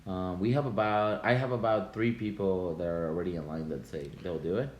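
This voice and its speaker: A man's voice: low-pitched, brisk, darkish tone. A man with a Russian accent hosting a casual podcast chat, talking loosely with fillers and frequent laughs. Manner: laughs often, hesitant fillers. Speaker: podcast host. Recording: echoey room with faint noise.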